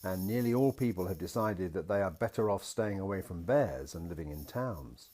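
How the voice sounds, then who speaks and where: A man voicing a gentle, patient father in a picture-book story, reassuring his small daughter as he explains things. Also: sits low, expressive, normal speed. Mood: explaining.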